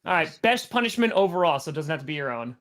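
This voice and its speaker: A man's voice: a little high, sing-song, fast talker, fairly bright tone. A man co-hosting a casual sports podcast, laid-back and self-mocking. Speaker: podcast co-host. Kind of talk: casual chat.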